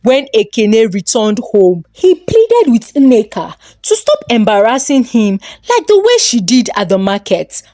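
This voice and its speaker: A woman with a Nigerian accent narrates a folktale with dramatic expression. Her voice is fairly high and moves widely in pitch, recorded close and dry. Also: wildly swooping pitch, unhurried, hardly any pauses, warm tone, dry recording. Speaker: storyteller.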